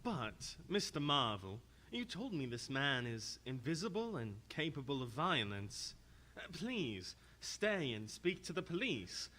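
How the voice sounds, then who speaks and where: An actor playing a concerned, polite doctor in audio theater, earnest and pleading. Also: male voice, medium pitch, very animated, measured pace, sharp tone, heavily acted. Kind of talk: live audio theater performance.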